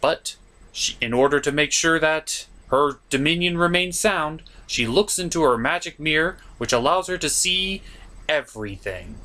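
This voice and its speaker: Theatrical man with a very animated delivery. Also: a little high, normal speed. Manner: theatrical, exaggerated reactions. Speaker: show host and reviewer. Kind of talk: comedic film review narration.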